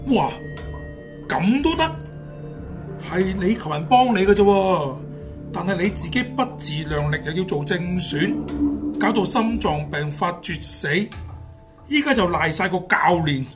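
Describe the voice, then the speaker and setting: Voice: medium pitch, lively intonation, measured pace, long pauses, close to an everyday voice. An actor playing a timid but outspoken teenage schoolboy, exclamatory and pleading, in a Hong Kong Cantonese radio drama. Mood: scolding. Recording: music behind the voice.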